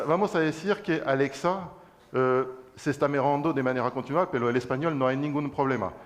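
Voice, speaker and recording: Halting man presenting. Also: medium pitch. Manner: halting, many restarts, slips into French and English words. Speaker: guest speaker, cloud solutions architect. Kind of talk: university presentation and Q&A.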